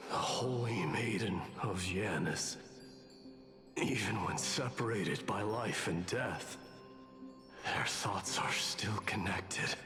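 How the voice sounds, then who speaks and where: A man playing a solemn, stoic warrior in an anime-style video game, with halting, weighty phrasing. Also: fairly low pitch. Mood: solemn, reflective. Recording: game music behind the voice.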